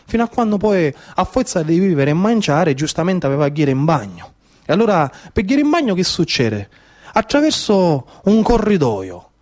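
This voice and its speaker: A man's voice: high voice, big pitch swings, moderate pace, a little mellow. Male stage storyteller, thirties, Sicilian accent, colloquial dialect delivery with emphatic repetition. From Sicily, Italy. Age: 30s. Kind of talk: theatrical monologue storytelling in dialect.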